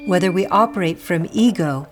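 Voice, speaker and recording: Woman w a lowish voice reading a spiritual text, measured n deliberate, lots of music under it. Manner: measured, deliberate, pausing between short phrases. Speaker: reader of a Buddhist teaching. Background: prominent music.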